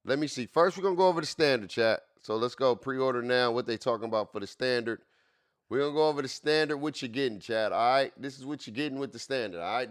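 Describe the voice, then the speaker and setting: A man's voice: medium pitch, fairly bright tone, smooth, dry recording, studio quality. Hyped streamer man in his forties. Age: 40s. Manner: repetitive, hyped, keeps addressing chat. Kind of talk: casual livestream chat.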